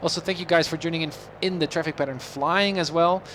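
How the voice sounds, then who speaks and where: A man's voice: fairly high pitch, steady tone, fast, fairly bright tone, a little hiss or hum. A man who is a non-native English speaker, chatting casually on a livestream as an affectionate, encouraging flight coach. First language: not English. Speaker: flight sim streamer and informal flight instructor.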